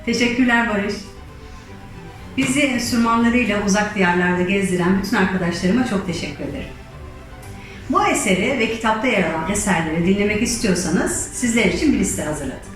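A woman's voice: medium pitch, fairly quick. Woman presenting in a very echoey space. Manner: explanatory, addressing the audience directly. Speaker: musician and writer hosting a musical storytelling event. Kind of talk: educational presentation with storytelling. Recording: very echoey, distant microphone, faint music.

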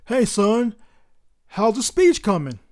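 A woman's voice: on the high side, very animated, slow pace, dark tone. A woman voicing a proud, encouraging father in a children's storybook, speaking with reassuring emphasis.

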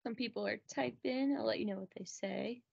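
Creaky-voiced woman assisting casually. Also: fairly high pitch, a little fast, a little mellow, dry recording, dull audio. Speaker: assistant relaying chat answers. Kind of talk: casual relaying of audience comments.

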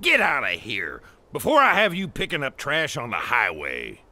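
An actor voicing a gruff older police car sheriff in an animated game, with a folksy, scolding manner. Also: male voice, mid-range voice, normal speed, full character voice. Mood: stern. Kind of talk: animated game cutscene acting.

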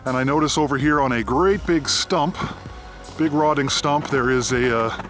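A Canadian man speaks into a handheld camera mic while he walks through a forest. His narration is unhurried and thoughtful, broken up by frequent "uh" pauses. Faint music plays quietly under his voice. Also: mid-range voice. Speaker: abandoned mine explorer. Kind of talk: walking narration while exploring. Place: outdoors in a forest.

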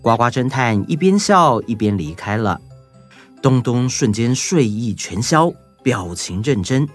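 A storyteller narrates for children in a lively, varied voice of medium pitch. Soft music plays underneath. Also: a little slow.

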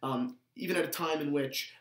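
A man's voice: fairly high pitch, a bit bright. Young American man in a roomy space. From New Jersey, United States. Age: 20s. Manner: halting, repeats words as he goes. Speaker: watch show host. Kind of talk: casual chat. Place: small indoor room, seated at a table. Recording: roomy indoor echo.

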